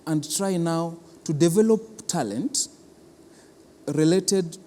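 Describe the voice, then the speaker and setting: A man with a Kenyan accent giving explanatory interview answers as an arts institution official, halting and deliberate, often repeating words for emphasis. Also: a little high, dark tone. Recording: long reverberant hall, clear background noise.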